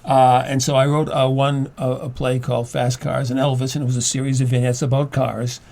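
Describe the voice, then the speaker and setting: Older man, halting medium-pitched talker. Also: level tone, vocal fry, darkish tone. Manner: halting, stammering, repeats words while explaining. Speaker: studio guest, playwright and former teacher. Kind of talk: conversational interview.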